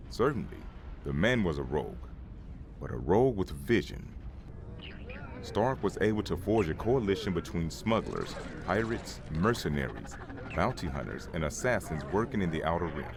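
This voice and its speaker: Casting: solemn elder storyteller played by a man, bass-leaning, dramatic cadence, grave space-saga narration. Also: background noise. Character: grave male Jedi council elder recounting war history.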